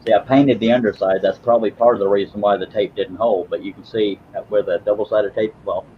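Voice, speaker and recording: A man's voice: on the low side, fairly even intonation, fairly quick, lo-fi sound, a little hiss or hum. A man hosting a woodworking live stream, chatting casually as he works, rambling and self-deprecating, often repeating his words. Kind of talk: casual live-stream chat while working.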